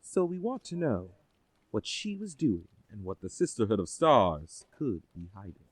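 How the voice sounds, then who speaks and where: Composed agency boss for a superhero audio drama, male actor: low, expressive, formal and assured. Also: unhurried, husky, dry recording, exaggerated voice. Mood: composed, businesslike. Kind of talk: scripted audio drama acting.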